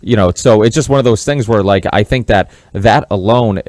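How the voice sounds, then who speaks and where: A man's voice: on the low side, normal speed, a little mellow, no echo, clean studio sound. A man from Massachusetts hosting a podcast, talking in an earnest, rambling, conversational interview style. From Massachusetts, born in Portugal. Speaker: podcast host.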